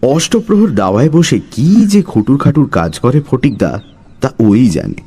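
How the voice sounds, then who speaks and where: A man telling a story as a dramatic, scripted narration in a husky voice. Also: mid-range voice, sing-song, normal speed, mellow.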